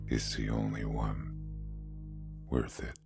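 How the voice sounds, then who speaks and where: An actor playing a solemn, tender storyteller, reciting poetic lines in a gravelly voice. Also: male voice, very deep voice, monotone, slow, music in the background, heavily acted. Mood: wistful, solemn. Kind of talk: poetic scripted narration.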